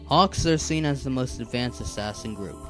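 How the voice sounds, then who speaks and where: A man playing a patient, earnest animal assassin mentor in an animated drama, explaining things in a measured, instructive way. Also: medium pitch, natural voice. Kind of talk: scripted animated drama dialogue. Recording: background music under the voice.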